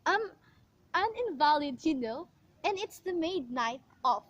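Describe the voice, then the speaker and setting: Woman acting very high-voiced, fretful invalid wife. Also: animated, a little slow, dry recording. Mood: fretful, insistent. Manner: fretful, insistent, pleading. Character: anxious, demanding bedridden wife, self-pitying and imperious. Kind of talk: amateur radio play acting.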